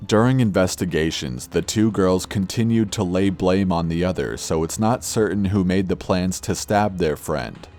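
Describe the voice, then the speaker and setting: Very deep-voiced man narrating true crime. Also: darkish tone. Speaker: narrator of horror videos. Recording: background music under narration. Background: music.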